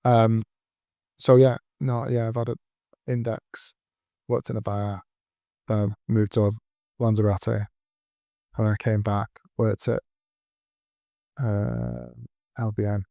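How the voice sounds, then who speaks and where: A man's voice: fairly low pitch, slow pace, vocal fry, long pauses, dark tone, dry recording. A man in his forties hosting a casual interview, telling rambling anecdotes with plenty of ums. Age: 40s. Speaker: podcast host.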